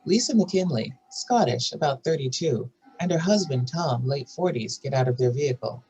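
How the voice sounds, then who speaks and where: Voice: mid-range voice, expressive, moderate pace, mellow, soft background music, low-quality recording. A narrator reading out stage directions and scene headings in a scripted table read.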